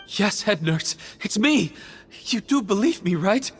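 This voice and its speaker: A man's voice: sits high, lively intonation, measured pace, faint music behind. A man voicing a hesitant, unsure prison inmate in a video game. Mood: nervous.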